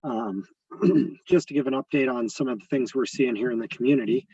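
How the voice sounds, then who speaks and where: Hoarse police lieutenant, husky and raspy, on a dull, dry video-call line. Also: male voice, medium pitch, mellow, no room sound. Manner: measured, hesitant with fillers. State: hoarse from sneezing. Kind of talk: community meeting update. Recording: muffled, distant video-call audio.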